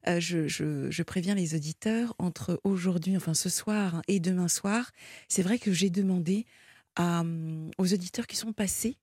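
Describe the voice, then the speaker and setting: A woman hosts a late-night call-in show from a dry studio microphone, gently leading her caller. Her voice is a little low, mellow and husky. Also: normal speed, dry recording. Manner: gentle, hesitant, fills in for the caller. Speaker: radio host. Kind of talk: late-night phone-in radio.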